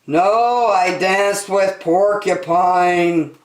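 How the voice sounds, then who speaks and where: A woman voicing a candid, plain-spoken male animal neighbor from a picture book, with drawn-out emphasis. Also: on the low side, echoey room, distant mic, pitched above the performer's own voice. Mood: pained.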